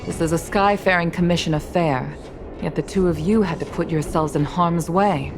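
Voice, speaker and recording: A woman acting as a dignified commander and protective mother in a video game. Her voice sits fairly low for a woman and her wording is formal and measured. Music plays clearly behind her. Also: natural voice. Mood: gracious, formal.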